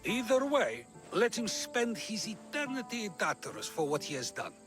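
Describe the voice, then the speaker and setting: An actor playing a thoughtful male philosopher in a video game cutscene, speaking in a measured way. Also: high voice, very animated, music in the background. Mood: calm. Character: older male philosopher, wise and questioning. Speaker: game character.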